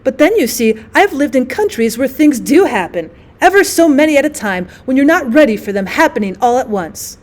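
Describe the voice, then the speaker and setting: A woman's voice: sits high, very animated, brisk, few pauses. A woman voicing a genteel, indignant, drily witty lady who tells her mishaps in a wordy, emphatic way for a story reading. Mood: composed.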